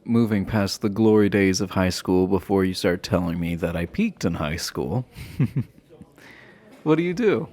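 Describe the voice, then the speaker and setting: A man playing a low-voiced, slow, flirty himbo jock. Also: expressive, a little mellow. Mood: teasing, curious.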